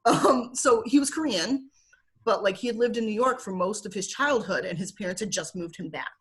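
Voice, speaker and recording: A woman's voice: medium pitch, animated, moderate pace. Chatty woman with a Michigan accent. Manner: chatty with frequent fillers, laughs often. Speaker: guest. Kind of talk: casual interview talk. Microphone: video call.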